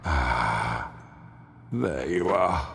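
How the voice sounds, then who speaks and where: An actor playing a sinister, theatrical male game villain who taunts slowly and menacingly. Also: rumbling low, animated, over loud music, exaggerated voice. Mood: menacing taunting. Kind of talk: video game voice acting.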